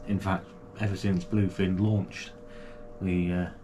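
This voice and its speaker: A man chats his way through an unboxing at home. His voice sits a little low, and he talks with plenty of fillers and restarts. Background noise is clearly audible in the room. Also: level tone, slow, mellow. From United Kingdom. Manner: chatty and enthusiastic, with frequent fillers and restarts. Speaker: kayaking channel host. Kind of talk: casual product unboxing. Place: small home gaming room, indoors.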